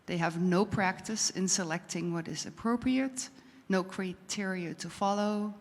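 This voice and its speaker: Dutch woman reading aloud, gravelly voice. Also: medium pitch, measured pace. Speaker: audience volunteer reading aloud. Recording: hall reverb.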